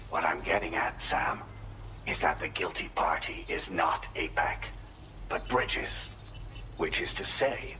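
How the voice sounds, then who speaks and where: An actor playing a formal, cerebral male contact who gives secretive counsel in a measured, expository way in a video game cutscene. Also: lowish voice, bright, forceful tone, slight background noise, low-quality recording. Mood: grave, confiding.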